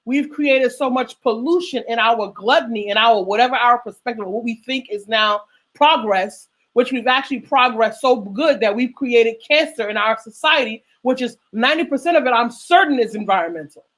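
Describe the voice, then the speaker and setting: A woman's voice: a little high, moderate pace, fairly bright tone. A middle-aged woman hosting a radio talk show, speaking in an emphatic, lecturing way that repeats phrases for weight. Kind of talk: passionate discussion.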